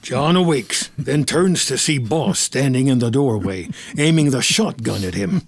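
A man narrating a film script in the present tense with measured, descriptive delivery over ambient sound. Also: mid-range voice, lively intonation.

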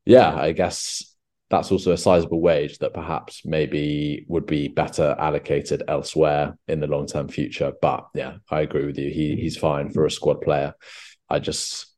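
A young man with an extremely deep, low voice hosts a relaxed football podcast. He rambles through long points, full of ums, restarts and asides. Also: normal speed. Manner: rambling, many restarts and fillers. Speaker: podcast host. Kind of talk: casual football podcast chat.

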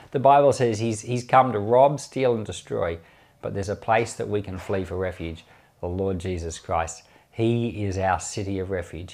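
A man's voice: medium pitch, darkish tone. A man speaking as a pastor, giving informal Bible commentary with many restarts and closing in earnest prayer.

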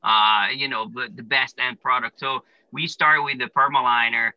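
A man's voice: medium pitch, steady tone, moderate pace, muffled recording. A man from Italy with an Italian accent, a plumbing business owner answering interview questions casually, with many fillers. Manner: explains step by step with many "uh" and "you know" fillers.

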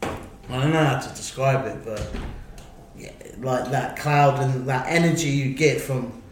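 A man's voice: mid-range voice, unhurried, a little hiss or hum. An English man chatting casually on a podcast, rambling and restarting his sentences. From England. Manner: rambling, restarts, laughs often. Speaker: podcast host. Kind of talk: casual chat. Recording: very echoey room, faint ambience.

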